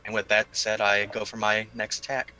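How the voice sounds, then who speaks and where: Bright, forceful male gamer. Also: fairly low pitch, monotone, moderate pace, faint music behind, poor audio. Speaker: player in tabletop game. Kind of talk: casual gaming chat.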